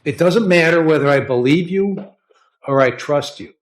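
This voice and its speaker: A man's voice: a little high, normal speed. An American man speaking as a judge in a courtroom, questioning and lecturing bluntly, repeating himself and often checking with "okay?".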